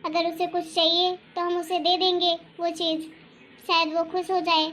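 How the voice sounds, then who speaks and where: Casting: female performer as considerate dutiful wife, very high soft voice, respectful, family moral story. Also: level tone, moderate pace. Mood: concerned, considerate. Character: gentle, considerate elder daughter-in-law, dutiful wife. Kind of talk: acted dialogue in Hindi family story.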